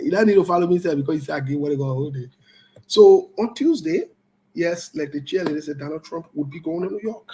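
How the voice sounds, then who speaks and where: A man's voice: on the high side, a little mellow, slight background noise, low-quality recording. Blunt, combative man hosting a talk show. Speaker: host of an online political talk show. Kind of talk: live commentary, reading and answering viewer comments.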